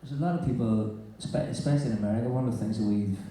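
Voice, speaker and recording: A man talking earnestly and haltingly as a guest on a live panel. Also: fairly low pitch, dark tone, slight background noise. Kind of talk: live onstage panel talk. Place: large indoor venue before an audience. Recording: echoey hall from far off.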